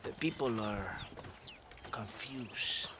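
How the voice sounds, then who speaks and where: Slow, theatrical Mexican captain. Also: male voice, lowish voice, noisy background. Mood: mournful, self-righteous. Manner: grandiose, melodramatic, slow deliberate delivery. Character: smug, theatrical Mexican army captain, oily and volatile. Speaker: video game character. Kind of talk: cinematic game dialogue acting.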